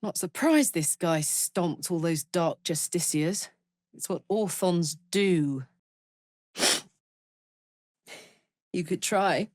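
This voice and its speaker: A woman playing a brash, warm-hearted tough warrior in a video game, blunt and sweary with a casual swagger and earnest feeling. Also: lowish voice, lively intonation, slow, no echo, clean studio sound. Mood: wry, bold. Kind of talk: video game character voice lines.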